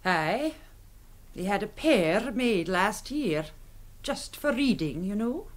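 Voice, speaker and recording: A woman's voice: mid-range voice, big pitch swings, takes it slowly. A woman playing a homely, deferential Scottish housekeeper in a radio drama, polite and plain-spoken. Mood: matter-of-fact.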